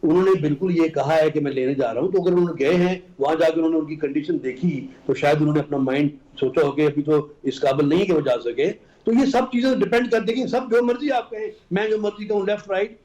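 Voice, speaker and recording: A male politician with a Pakistani accent debates in a high-pitched voice. He is argumentative and insistent, and keeps pushing his point. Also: quick pace, dark tone, low-quality recording. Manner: insistent, argumentative, talks over interruptions. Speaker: senator, political guest.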